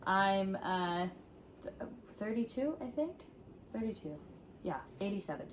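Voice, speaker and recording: A woman in her thirties co-hosts in an easy, chatty way, with teasing asides and plenty of fillers. Her voice sits at an ordinary middle pitch. Faint noise hums behind her. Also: big pitch swings, measured pace. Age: 30s. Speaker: co-host of a couple's channel. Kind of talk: casual chat.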